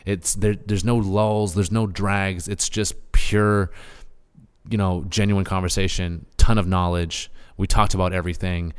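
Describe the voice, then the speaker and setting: A man hosting a podcast, talking casually and with excitement, full of fillers and hype for his guest. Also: deep voice, unhurried, clean tone, big-room sound. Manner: excitable, hyping, lots of fillers. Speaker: podcast host.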